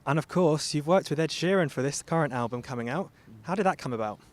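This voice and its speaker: Brisk male radio interviewer. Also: a little high, lively intonation. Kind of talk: interview questions. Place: stadium.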